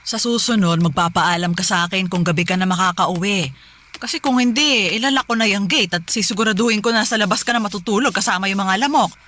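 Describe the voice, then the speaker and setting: Woman playing a sharp, scolding mother. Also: medium pitch, fast, close to an everyday voice. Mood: stern scolding. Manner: scolding, naggy. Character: nagging, strict middle-aged mother, short-tempered and negative. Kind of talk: radio drama acting. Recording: faint background noise.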